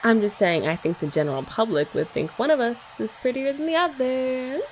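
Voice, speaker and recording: A woman's voice: on the high side, brisk, slight background noise, poor audio. A young woman in an ASMR girlfriend roleplay, chatting in a playful, teasing way.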